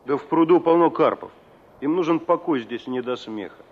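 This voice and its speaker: An actor in a Soviet radio play as a gruff but good-natured village forest warden, plain-spoken and conversational. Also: male voice, fairly high pitch, moderate pace, natural voice. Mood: matter-of-fact. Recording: dull old radio drama recording.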